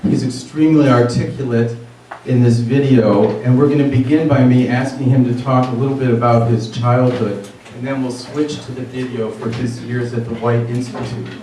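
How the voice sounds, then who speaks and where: A man's voice: medium pitch, fairly even intonation, moderate pace, few pauses, mellow. A man hosting an event, informally introducing a guest and putting questions to him. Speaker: event host and interviewer. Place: large echoey room. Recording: echoey room, distant mic, faint noise.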